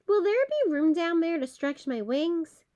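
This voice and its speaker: A woman's voice: extremely high pitch, very animated, unhurried, dark tone, voice pushed up, exaggerated voice. A woman voicing a proud, keen-eyed eagle in a children's storybook.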